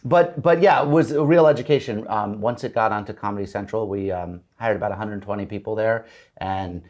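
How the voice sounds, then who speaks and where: A man's voice: fairly low pitch, lo-fi sound. A middle-aged man, a guest on an interview show, chatting casually about his work in TV comedy and often acting out quoted lines as he talks. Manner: animated storyteller, quick restarts and acted-out quotes.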